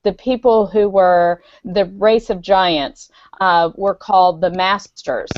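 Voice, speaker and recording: A woman's voice: lowish voice, slow pace, no room sound, muffled recording. A woman author talking casually on a podcast over an online call, explaining earnestly with fillers. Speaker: guest author. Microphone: video call.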